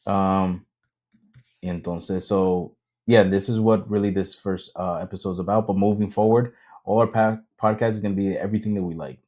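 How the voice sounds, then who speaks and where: A man's voice: deep voice, fairly even intonation, moderate pace, mellow. A man co-hosting a casual podcast, talking in a hesitant way with many ums and slipping into Spanish now and then. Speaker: podcast co-host. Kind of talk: casual chat.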